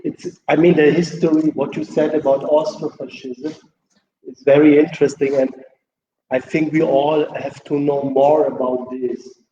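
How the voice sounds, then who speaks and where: German guy from east berlin, mellow voice, chatty and frank, echoey hall, kinda dull sound. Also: a little high, flat delivery, measured pace, a little hiss or hum. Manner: frank and discursive, drifting between thoughts. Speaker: poet on a festival panel. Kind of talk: unscripted panel talk in English.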